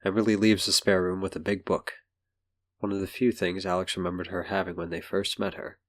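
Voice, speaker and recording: A man's voice: low-pitched, flat delivery, a little fast, a bit bright. A man reading scripted story narration for an audio drama. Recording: music behind.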